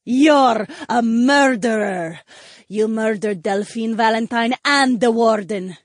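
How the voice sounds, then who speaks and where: A deranged, cackling woman rasps out her accusations in a high, unhinged voice, as a male storyteller puts her on. She draws out her words slowly, and the recording is dry and close. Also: no echo. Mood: manic. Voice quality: raspy.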